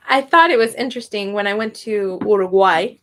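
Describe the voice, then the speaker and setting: A woman's voice: medium pitch, normal speed, fairly bright tone. A young woman teaching a casual spoken lesson, chatty and prone to tangents, laughing often. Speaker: Spanish teacher.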